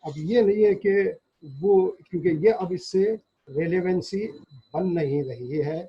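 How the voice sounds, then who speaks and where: A man lecturing in a deliberate, explanatory way, repeating and rephrasing key terms as he goes. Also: high voice, dark tone, slightly rough, lo-fi sound. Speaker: law lecturer. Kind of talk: lecture reading statutes in English and explaining them in Urdu.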